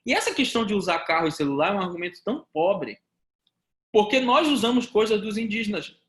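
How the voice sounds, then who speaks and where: A man from Pará with a Paraense accent, lecturing on law to students with rhetorical questions and lively asides. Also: high voice, a little fast, roomy sound. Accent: Paraense Brazilian Portuguese. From Pará, Brazil. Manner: animated, talks to students directly, rhetorical questions. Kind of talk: recorded law lesson.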